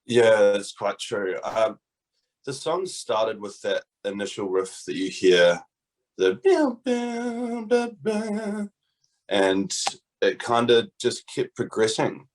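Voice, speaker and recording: A man's voice: on the low side, sing-song, takes it slowly. A young man from New Zealand, a band's guitarist, talking casually and joking in an interview. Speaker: guitarist in a band.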